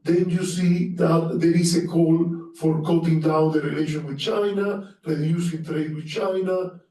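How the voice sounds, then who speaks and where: A man's voice: on the high side, steady tone, warm tone. A man from Cuba with a Cuban Spanish accent, speaking earnestly at an academic seminar, often restarting his phrases. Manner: earnest, halting with restarts and fillers. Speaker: panelist. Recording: echoey room, mic far off.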